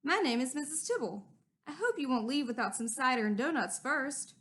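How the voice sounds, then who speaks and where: A woman reading a storybook aloud, voicing a gentle, welcoming elderly woman whom children take for a witch. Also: high-pitched, animated, a little fast.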